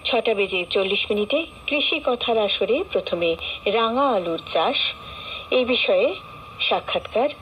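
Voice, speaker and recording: Woman announcer, West Bengal Bengali accent, formal schedule reading, animated intonation, faintly noisy shortwave radio. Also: medium pitch, unhurried. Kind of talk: scripted programme schedule announcement.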